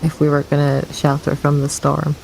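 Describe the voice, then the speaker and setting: A woman's voice: sits low, completely flat delivery, moderate pace, gravelly, darkish tone, slight background noise, poor audio. A woman playing a tabletop game, thinking aloud tentatively. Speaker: player. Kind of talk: casual game chat.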